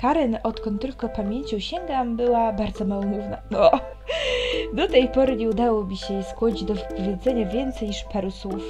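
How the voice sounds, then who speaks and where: Wry woman reading a visual novel, higher-pitched. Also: normal speed, over music. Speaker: let's player reading a visual novel aloud. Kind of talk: expressive reading aloud with commentary.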